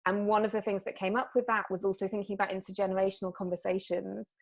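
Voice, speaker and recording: A woman artist from Wales, chatting casually in an interview and often pausing on fillers and restarting her sentences. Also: medium pitch, little pitch movement. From South Wales. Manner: hesitant, lots of fillers and restarts.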